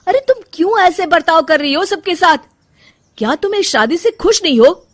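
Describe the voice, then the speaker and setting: A woman's voice: very high voice, animated, moderate pace, voice pushed up. A woman voicing a caring young husband in a cartoon story, coaxing and pleading.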